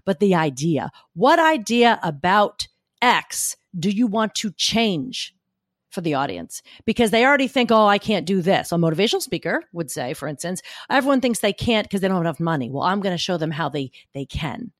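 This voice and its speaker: Gruff, gritty woman, lowish, emphatic storyteller; dry close recording. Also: moderate pace, no echo. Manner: emphatic, argumentative, animated storytelling. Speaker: guest speaker coach. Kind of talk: podcast interview.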